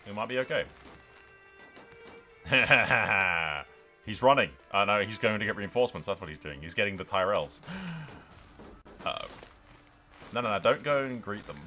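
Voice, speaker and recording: A man gives casual let's play commentary over background music. His delivery swings widely in pitch and has a forceful, bright edge. He is sarcastic and theatrical, swearing freely as he narrates. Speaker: gaming video host.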